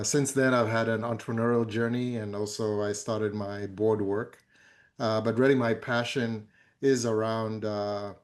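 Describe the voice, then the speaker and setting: A man in his fifties, from Zambia, speaks in a low voice that barely rises or falls. He talks as a conference guest in a fireside chat, measured and pausing often on fillers. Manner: measured, with many hesitant fillers. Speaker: board member, guest speaker.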